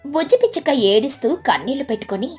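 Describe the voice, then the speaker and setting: A woman tells a scripted children's tale. Her voice is high and somewhat husky, with soft music running underneath. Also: sing-song, quick pace. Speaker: story narrator. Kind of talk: scripted children's story narration. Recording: background music bed.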